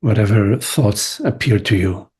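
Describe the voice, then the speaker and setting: A man leading a guided meditation as a teacher, speaking gently in short phrases with long pauses. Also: fairly low pitch, lively intonation, husky. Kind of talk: guided meditation, spiritual talk.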